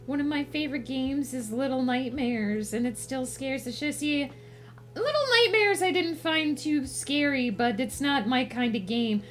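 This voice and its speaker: A woman's voice: high-pitched, unhurried, a bit bright, faint music behind. A woman livestream host chatting casually with viewers in a playful, cutesy put-on character voice.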